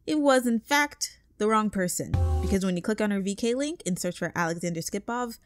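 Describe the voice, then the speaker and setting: A woman's voice: mid-range voice, animated, brisk. Outspoken woman narrating over music. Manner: outspoken, swears. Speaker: co-host of a channel. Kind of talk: scripted video essay narration. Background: background music.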